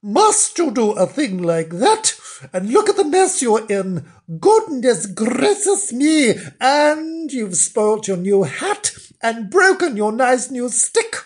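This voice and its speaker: A shrill, house-proud storybook wife, put on by a storyteller, bossy and exasperated. Her scolding rides high and swoops about in exclamations. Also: big pitch swings, unhurried, darkish tone, slightly rough. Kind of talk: children's storybook character voice.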